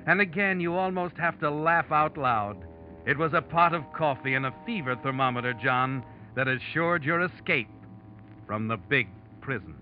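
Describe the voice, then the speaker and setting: A man's voice: fairly high pitch, moderate pace, dull audio, lightly acted. An actor playing an ominous, knowing narrator of an old radio suspense play, addressing a guilty man in an insinuating, taunting way. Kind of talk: 1940s radio suspense narration, addressing the protagonist in second person. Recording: vintage radio recording, dramatic music behind.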